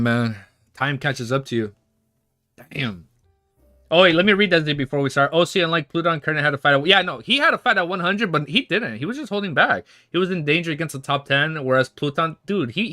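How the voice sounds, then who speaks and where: A man's voice: on the high side, bright, forceful tone, no echo, studio quality. A young man in his twenties hosting a livestream, chatting casually and reading a novel aloud, rambling and swearing with easy laughs. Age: 20s. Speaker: livestream host.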